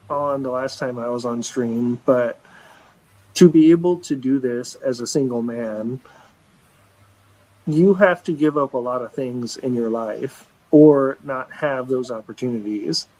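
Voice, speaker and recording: A man in his forties speaking at a middle pitch, with an everyday voice. He talks casually and earnestly, circling back and restarting his thoughts as he goes. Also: takes it slowly, pauses often, darkish tone, poor audio. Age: 40s. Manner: rambling and earnest, with frequent restarts and casual swearing. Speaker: livestream show regular. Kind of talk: casual live chat.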